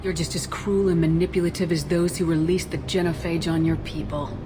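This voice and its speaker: An actress playing a tough, blunt human military commander in a sci-fi video game, direct and controlled. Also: female voice, fairly low pitch, fairly even intonation, a little fast, background noise, close to an everyday voice. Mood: stern, questioning. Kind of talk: cinematic sci-fi game acting.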